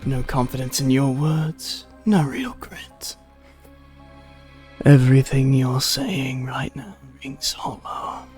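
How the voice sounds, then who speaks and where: A streamer voicing a mocking, sinister male digital villain who talks in slow taunts and drops to whispers. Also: medium pitch, animated, a little mellow, husky, faint music behind. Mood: taunting. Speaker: streamer voicing a visual novel.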